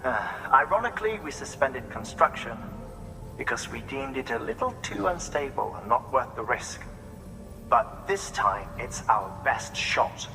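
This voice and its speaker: An actor playing a measured, serious male operations official giving a formal, slightly hesitant mission briefing in a video game. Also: mid-range voice, sing-song, gravelly, bright, forceful tone, heavily acted. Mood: measured, grave. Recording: long hall reverb, music behind.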